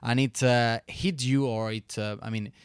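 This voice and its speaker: Clear-voiced male interviewer. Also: mid-range voice, steady tone, moderate pace, dry recording, clean studio sound. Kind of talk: conversational interview.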